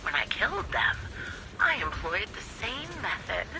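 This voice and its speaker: A woman's voice: wildly swooping pitch, normal speed, music in the background, rough recording. An actress playing a vengeful, unhinged female sniper villain in a video game, sneering and theatrical with bitter mockery. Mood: manic mockery. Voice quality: high, swooping voice. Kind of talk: dramatic video game voice acting.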